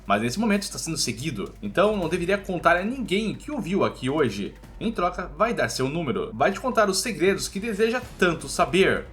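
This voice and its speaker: A man's voice: on the high side, very animated, a little fast, few pauses, fairly bright tone, faint music behind. A man with a Brazilian accent narrating a scripted story recap in informal, colloquial storyteller phrasing.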